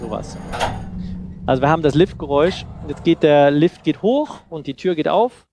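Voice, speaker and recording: Man in his thirties, slightly high voice, informal workshop talk, halting explanations, headset, noisy background. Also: animated. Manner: halting, explanatory, thinking aloud. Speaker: conference speaker on sound design. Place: seated on a sofa in a festival room. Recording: clear background noise and ambience.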